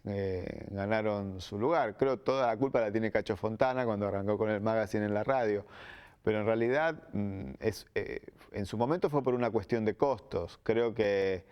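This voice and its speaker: A man's voice: on the low side, clear, resonant tone, dull audio. A man with an Argentine accent speaking reflectively as a radio drama producer, explaining in an interview style.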